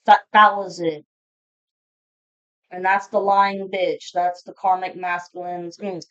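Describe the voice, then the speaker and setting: A woman's voice: mid-range voice, clean tone, dull audio. A woman giving a casual, unscripted tarot reading to viewers, speaking in a blunt, informal, foul-mouthed manner. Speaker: tarot reader hosting a zodiac reading.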